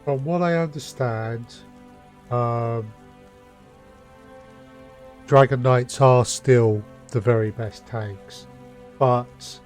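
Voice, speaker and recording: A man giving casual, informal commentary as he streams a game, chatty and unhurried. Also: mid-range voice, a little mellow. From United Kingdom. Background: faint game music.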